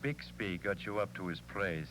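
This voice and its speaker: A menacing crook from a vintage radio thriller. The voice is very deep with a sharp edge, tough and clipped. There is faint noise on the old recording. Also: male voice, normal speed, poor audio. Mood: coolly threatening. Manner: tough, clipped gangster talk. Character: cold, menacing male crook and blackmailer. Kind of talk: 1940s radio crime drama acting.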